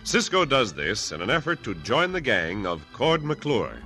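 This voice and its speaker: A man's voice: mid-range voice, wildly swooping pitch, a little slow, sharp tone. An American man narrating as an old-time radio announcer, in a dramatic scripted style. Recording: vintage radio with faint music.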